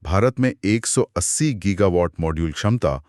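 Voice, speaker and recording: Animated, lowish-voiced Indian man asking questions. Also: normal speed, no echo, studio quality. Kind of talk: scripted conversational podcast discussion.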